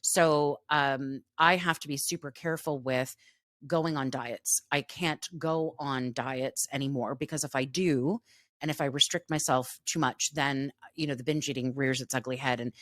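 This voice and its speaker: Woman, podcast co-host, low pitch, casual chat, dry close recording. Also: fairly even intonation, moderate pace, fairly bright tone, no room sound. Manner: chatty, frequent fillers and restarts.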